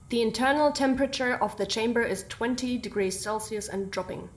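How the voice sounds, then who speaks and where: An actress playing a reserved research scientist in an audio drama, speaking in a formal, measured, deadpan way as if dictating a log. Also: female voice, on the high side, natural voice. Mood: curt, unamused. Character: reserved, clinical female research scientist, drily unamused.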